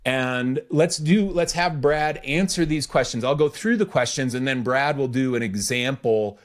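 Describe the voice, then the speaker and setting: A man's voice: mid-range voice, moderate pace. A man hosting a podcast as a business coach, talking in a casual, instructive way and repeating key phrases for emphasis.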